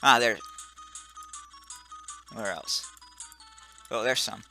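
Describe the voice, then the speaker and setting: Bright-voiced joking gamer man. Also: lowish voice, drawn-out words, vocal fry, faint music behind. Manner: joking, self-mocking, trips over words. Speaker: let's play gamer. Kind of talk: casual gameplay commentary.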